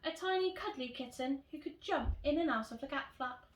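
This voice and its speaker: A roomy, slightly distant recording of a woman playing a young storybook girl. She is wishful and kind but quick to exasperation, speaking in a high, emphatic, childlike voice that swings up and down. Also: expressive. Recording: roomy echo, distant microphone.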